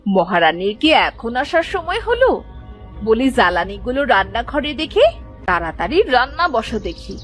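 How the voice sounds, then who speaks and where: A woman's voice: a little high, sing-song, normal speed, soft background music. A woman playing a cruel, bullying aunt in a cartoon tale, scolding and threatening with sarcastic commands. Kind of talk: animated moral tale voice acting.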